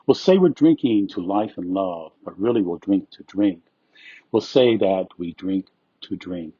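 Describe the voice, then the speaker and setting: A man's voice: deep voice, animated, unhurried, warm tone, breathy edge, dry recording. A man presenting and reading rhymed poetry aloud, with short introductions, on a dull lo-fi recording.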